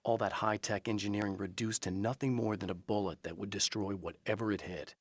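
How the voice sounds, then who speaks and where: Man as low-voiced, earnest writer hero, dry sound. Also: fairly even intonation, no echo, natural voice. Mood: grim, narrating. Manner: measured, serious. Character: earnest, serious male writer turned fighter against darkness. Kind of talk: video game acting, dialogue and reflective monologue.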